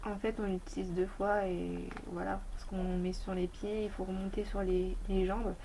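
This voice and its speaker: A woman's voice: mid-range voice, level tone, normal speed, creaky, mellow, a little hiss or hum, rough recording. A woman beauty vlogger with a slight head cold, chatting casually and rambling through a review of her toiletries. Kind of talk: casual product review chat.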